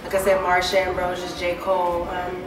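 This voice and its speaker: A woman singer giving a casual interview, talking in a deep, low speaking voice with many easygoing fillers. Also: brisk. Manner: relaxed and chatty, many fillers like "um" and "you know". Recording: echoey room, mic far off, clear background noise.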